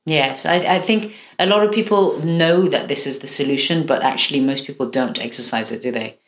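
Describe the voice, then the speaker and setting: Low-voiced woman hosting in an echoey room. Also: fairly quick. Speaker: host. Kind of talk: interview hosting.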